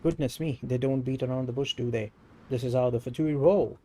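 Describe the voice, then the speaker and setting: A man's voice: mid-range voice, steady tone, a little fast, background noise. A man with an Indian accent livestreaming a video game in casual commentary, reading dialogue and switching between languages. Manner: switches between Hindi and English. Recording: game music over the voice. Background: loud game music.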